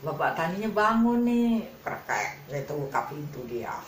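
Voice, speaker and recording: A woman's voice: lowish voice, big pitch swings, moderate pace. A woman telling a children's tale informally, in a colloquial and repetitive way. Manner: colloquial, repetitive, with sound effects. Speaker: storyteller. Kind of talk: informal improvised children's storytelling. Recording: roomy echo with faint background noise.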